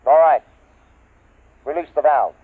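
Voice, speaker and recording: Authoritative male major played by an actor, fairly high voice, brisk orders, old muffled radio-drama recording. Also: very noisy, close to an everyday voice. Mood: urgent, commanding. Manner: barked instructions. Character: brisk, authoritative army major in protective suit. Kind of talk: 1950s radio drama acting.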